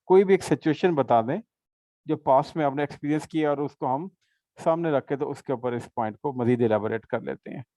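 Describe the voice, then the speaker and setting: A man teaches English patiently, mixing in examples and frequent check-ins. His voice is mellow and medium-pitched, recorded dry and close. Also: fairly quick, dry recording. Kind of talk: conversational tutoring session.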